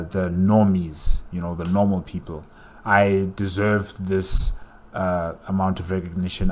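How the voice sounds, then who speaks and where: A man giving casual, unscripted reaction commentary, halting and repeating phrases as he thinks aloud. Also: low voice, darkish tone, a little hiss or hum. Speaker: reaction video host.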